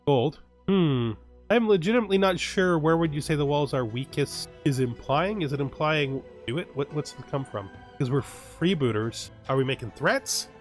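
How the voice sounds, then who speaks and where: A man hosting a strategy game let's play, giving dramatic readings of the game's text and then breaking into casual asides. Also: a little high. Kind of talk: game roleplay commentary.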